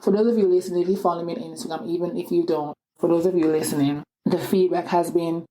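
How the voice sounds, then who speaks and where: A young woman with an Antiguan island accent hosts her own podcast, talking alone into the mic. Her voice sits low, and she keeps her talk upbeat and chatty, with plenty of casual asides to her listeners. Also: steady tone, fast talker, warm tone, low-quality recording. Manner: upbeat and chatty, many "you know" asides. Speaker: podcast host. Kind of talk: solo podcast monologue.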